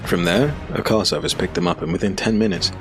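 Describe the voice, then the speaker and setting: A man narrating a scripted dark fantasy audiobook as a storyteller. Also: low voice, fast, over music.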